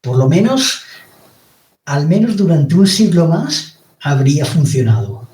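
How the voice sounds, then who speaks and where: A man from Spain with a Spanish accent speaks very slowly and carefully as a podcast guest, pausing often to find his words. His voice is mellow and dark. He sounds set back from the mic in an echoey room. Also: a little high, very animated. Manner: slow, deliberate, hesitant delivery. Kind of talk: explanatory monologue. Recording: roomy, distant from the mic.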